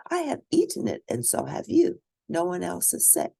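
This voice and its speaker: Reasoning storybook grandfather, read by a woman, measured, reassuring, slightly gravelly, dry recording. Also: fairly low pitch, wildly swooping pitch, mellow, dry recording, pitched above the performer's own voice, heavily acted.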